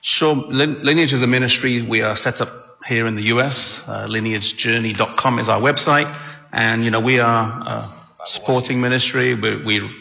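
Gravelly English man in echoing church hall. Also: medium pitch, steady tone, moderate pace, pushed and bright. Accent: English with some American mixed in. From England. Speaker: guest speaker, ministry filmmaker. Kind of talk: casual on-stage interview. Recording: long hall reverb.

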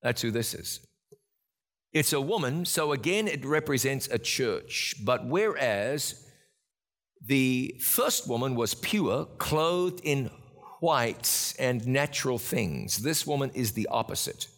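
A man's voice: mid-range voice, animated, slow, fairly bright tone. A man hosting a Bible program, teaching in an earnest sermon style with emphatic repetition.

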